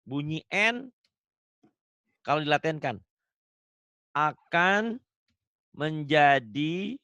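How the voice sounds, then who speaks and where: A man's voice: fairly high pitch, expressive, extremely slow, sharp tone, clear, resonant tone, dry recording. A man teaching Quran recitation online, patiently drilling pronunciation and laughing often. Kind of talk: online tajwid lesson.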